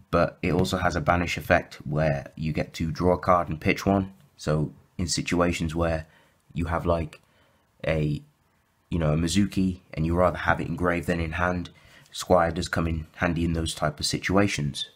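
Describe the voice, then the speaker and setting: Low-pitched male host, a bit croaky, casual deck walkthrough, filler-heavy and self-correcting. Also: flat delivery, moderate pace. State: slightly croaky from sore throat. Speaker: YouTube deck profile host.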